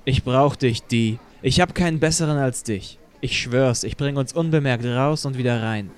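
A cocky teenage gamer boy, played by a man in an audio drama. His voice sits at an average male pitch. He speaks in slangy, urging phrases, like a persuasive ringleader. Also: moderate pace, natural voice. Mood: coaxing.

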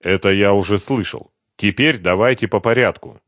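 A man voicing a calm, commanding detective who questions a suspect in an audiobook, level and firm. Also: fairly low pitch, normal speed, no room sound, studio quality, lightly acted. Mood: steady, commanding. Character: calm, relentless male detective interrogating a suspect. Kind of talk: audiobook detective novel dialogue.

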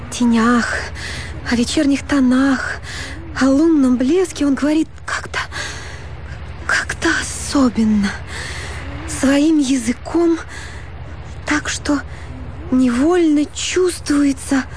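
An actress playing a dreamy, enraptured young married woman in a radio drama, with halting, theatrical delivery. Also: sits high, a little mellow, a little hiss or hum. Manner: breathy pauses, theatrical, halting and rapturous delivery. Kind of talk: Russian radio drama acting. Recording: music bed under the voice.

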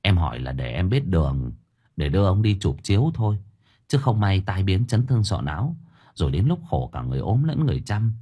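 A man's voice: deep voice, very animated, a little fast, dark tone, slightly rough, heavily acted. A man voicing a nosy younger neighbour who probes and chides in colloquial speech within a narrated story. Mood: probing. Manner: probing, colloquial, admonishing. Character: nosy younger neighbour questioning and gently chiding a wife.

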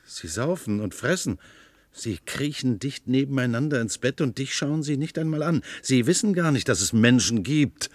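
An actor playing a fanatical demagogue in a German radio play, oratorical and grandiose, stirring oppressed workers to revolt with emphatic, stretched stresses. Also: male voice, medium pitch, expressive, normal speed, no room sound, close to an everyday voice. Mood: bitter, contemptuous.

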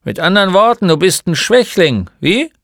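A man playing a gruff, mocking old shopkeeper in a storybook, with a blunt, grumbling manner. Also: medium pitch, very animated, moderate pace, fairly bright tone, no room sound, clean studio sound, pitched above the performer's own voice. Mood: scornful. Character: gruff, mocking old male bookshop keeper. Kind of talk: storybook character voice.

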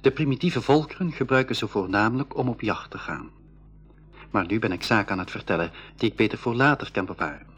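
A man's voice: lowish voice, animated, a little fast, a bit bright, breathy edge, faint music behind. A man playing an earnest young adventurer recounting his journey in a school radio play. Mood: earnest, reflective. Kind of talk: scripted first-person storytelling in school radio play.

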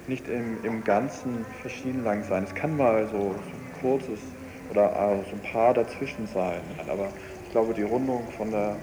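A man speaking casually and hesitantly, searching for his words as he muses in an interview. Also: on the low side. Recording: faintly noisy cassette radio recording.

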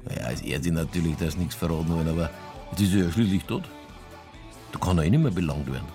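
Man playing a Bavarian detective, deep voice, brisk, colloquial, persistent, dry radio drama questioning. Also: warm tone, faint music behind, close to an everyday voice. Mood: coaxing, persistent. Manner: matter-of-fact, colloquial questioning. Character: low-key male police detective, persistent and dryly casual. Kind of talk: naturalistic radio drama dialogue.

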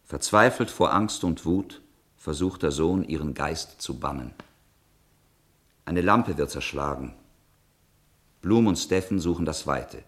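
A man's voice: rumbling low, expressive, measured pace, pauses often, fairly bright tone, exaggerated voice. A man announcing scenes in a radio drama with formal, scripted, synopsis-like narration.

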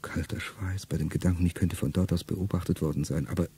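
A middle-aged man speaking a first-person radio play monologue in a low, intimate way that often sinks to a whisper. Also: fairly even intonation, fast, warm tone, a little hiss or hum.

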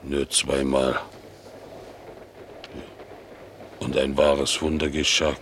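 A man playing a naive, excitable bulldog in a radio play, telling his tale expressively and mimicking the people he quotes. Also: a little slow. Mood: hushed, awed. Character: excitable, naive male bulldog, chattering about his household. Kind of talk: German radio play acting. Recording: clearly noisy, train rumble behind. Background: vehicle rumble.

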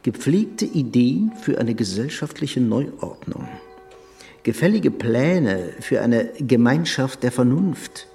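An actor playing a haughty, cynical aristocratic prince in a radio drama, condescending and sardonic. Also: male voice, medium pitch, big pitch swings, slow, gravelly, mellow, soft background music, full character voice. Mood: scornful, cynical.

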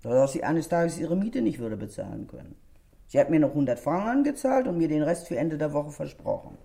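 A gossipy middle-aged landlady in a radio crime drama. Her voice is creaky and swings up and down expressively. She chatters on with self-righteous indignation and sly insinuation. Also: low-pitched, big pitch swings, fairly quick, dark tone, full character voice. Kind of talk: German crime radio play acting.

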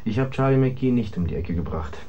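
An actor playing a shady, defensive underworld type in a radio crime drama, talking in terse slang. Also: male voice, mid-range voice, animated, a little fast, warm tone, highs cut off, slight background noise, close to an everyday voice. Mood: guarded.

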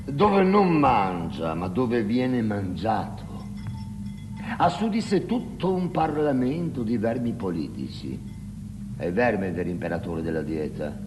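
In a long reverberant hall with music behind, a brooding, sardonic man in a theatrical drama. He speaks slowly and deliberately, his pitch swinging widely as he dwells on death and decay. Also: very animated. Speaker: stage actor. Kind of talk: theatrical stage acting.

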